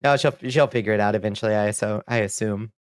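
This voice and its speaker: A man's voice: fairly low pitch, clean tone, no echo. Chatty streamer man, dry close sound. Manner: rambling, chatty, quick laughs, reads chat aloud. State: a little sniffly. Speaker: streamer reading chat. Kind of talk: casual chat while gaming.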